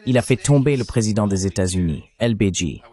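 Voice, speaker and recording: A man in his seventies speaks in a somewhat low voice with lively, swinging intonation. He poses questions in a political discussion, delivered as a translated voice-over. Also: a little fast. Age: 70s. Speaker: panel questioner.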